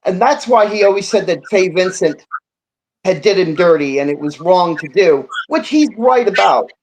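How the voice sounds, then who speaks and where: A man's voice: high voice, animated, moderate pace, low-quality recording. A man in a casual sports debate, explaining his view in an insistent yet conciliatory way.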